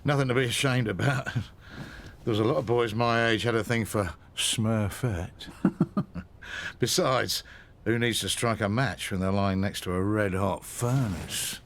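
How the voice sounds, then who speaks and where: Older male publican, cheeky and lowish-voiced, radio soap banter. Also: measured pace, fairly bright tone, a little hiss or hum. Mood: playful.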